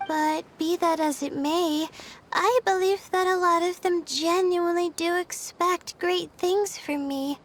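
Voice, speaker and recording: A woman voicing a young female student astrologer in a video game, with a soft, earnest delivery. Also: very high-pitched, fairly even intonation, unhurried. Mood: shy, earnest.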